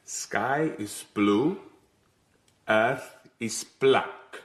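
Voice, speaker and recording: An actor playing a relentless, methodical male interrogator who chants short phrases deliberately in a radio drama. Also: mid-range voice. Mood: cold, insistent. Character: relentless, methodical foreign interrogator drilling a prisoner.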